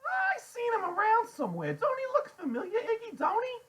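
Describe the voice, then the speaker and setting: A man's voice: extremely high pitch, wildly swooping pitch, brisk, fairly bright tone, slight background noise, voice pushed up, exaggerated voice. A man voicing a coarse, nosy, nervy biker's woman in an audiobook, with folksy, insistent talk. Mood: puzzled, nosy.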